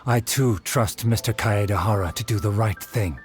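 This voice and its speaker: A man's voice: fairly low pitch, moderate pace, soft background music, natural voice. A man playing an earnest, formal young swordsmith in a video game, humble and resolute. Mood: solemn, humble.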